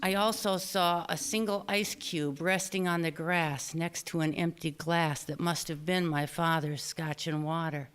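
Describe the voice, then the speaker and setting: A woman reading her own personal essay aloud at a live storytelling event, with expressive, deliberate phrasing. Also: sits low, clean tone. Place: small theater stage, live reading before an audience.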